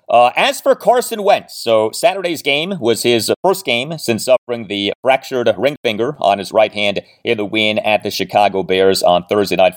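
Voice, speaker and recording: Emphatic male sports podcast host. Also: on the low side. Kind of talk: sports commentary, presenting and analysing interview clips.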